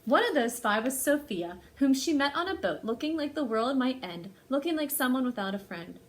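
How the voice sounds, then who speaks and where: A woman hosting a library storytime, speaking to young children in an animated way as she presents and reads a picture book aloud. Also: sits high, moderate pace.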